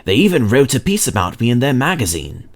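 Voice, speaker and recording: A man's voice: medium pitch, big pitch swings, brisk, pitched above the performer's own voice. A man voicing a proud, chatty male steam engine in a storybook, boasting dramatically about adventures. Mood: dramatic.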